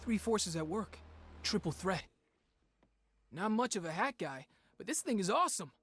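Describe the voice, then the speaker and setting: An actor playing a cocky, angry teenage street inventor who controls time, with brash, taunting game voice lines. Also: male voice, high-pitched, sing-song, normal speed, fairly bright tone, husky, heavily acted. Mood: wry confident. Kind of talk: video game character voice lines.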